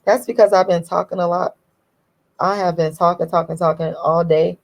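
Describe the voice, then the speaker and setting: A woman with a hoarse, deep voice, talking bluntly and emphatically. She repeats her key points in a casual opinion monologue, and her voice sits fairly low. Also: steady tone, normal speed. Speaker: YouTube commentator.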